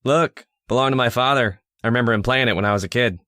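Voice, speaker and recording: An actor playing a moody, homesick man at a remote outpost, colloquial and expressive in old-time radio drama style. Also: medium pitch, quick pace, dry recording, studio quality, natural voice. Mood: nostalgic. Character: moody, homesick, touchy male outpost partner.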